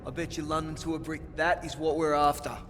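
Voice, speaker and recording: An actor playing a brash, irreverent Australian soldier in a war game, blunt and sardonic. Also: male voice, on the high side, flat delivery, fairly quick, noisy background, lightly acted. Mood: hushed, impatient. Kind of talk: cinematic war game acting.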